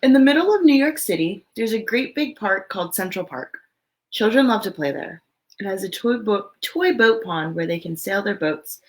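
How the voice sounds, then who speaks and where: A woman reading a picture book aloud to children in an expressive storytelling way, with casual, chatty asides. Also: medium pitch, normal speed.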